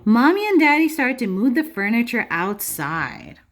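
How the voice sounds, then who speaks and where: A woman teacher reading a picture book aloud to young children in an expressive, instructive way, often pausing to ask them questions. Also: on the high side.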